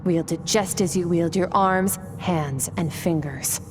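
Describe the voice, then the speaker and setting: A stern swordmaster mentor. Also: female voice, on the low side, measured pace. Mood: stern, instructive. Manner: calm, firm, instructive. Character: stern swordmaster mentor woman, cool and exacting. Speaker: sword teacher. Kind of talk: game voice acting. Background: game music.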